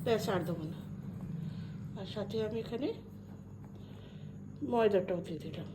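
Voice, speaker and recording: A woman's voice: medium pitch, moderate pace, background noise. A middle-aged woman with an Indian Bengali accent talks casually and informally, as in a homely cooking vlog, dropping in English and Hindi words. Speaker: home cooking vlogger. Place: indoors at home.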